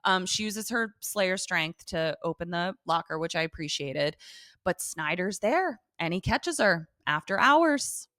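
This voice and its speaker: A woman's voice: lowish voice, lively intonation, a little slow, a bit bright, dry recording, studio quality. A woman co-hosting a casual TV recap chat, talking in slangy, sweary, informal language. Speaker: podcast co-host.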